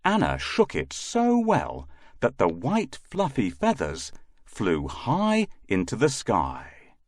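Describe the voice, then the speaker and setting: Slow, very expressive male storyteller. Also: medium pitch, no echo. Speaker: storyteller, narrator. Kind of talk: scripted children's fairy tale narration.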